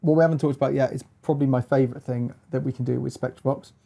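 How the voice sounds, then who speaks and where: A man giving a casual hands-on demonstration as a gear reviewer, explaining in a halting, hesitant way. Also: medium pitch, steady tone, vocal fry, warm tone, slight background noise.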